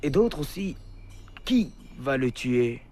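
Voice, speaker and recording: A man playing a nervous clan elder who objects, hesitant and anxious, in a French-dubbed animated historical drama. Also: medium pitch, slow, a little hiss or hum. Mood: worried, objecting.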